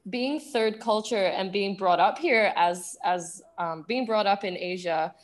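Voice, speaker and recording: A young woman from the UK, raised in Asia, with a British accent tinged by Singaporean English, talking things through thoughtfully with many pauses and restarts. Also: mid-range voice. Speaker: guest. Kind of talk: conversational interview.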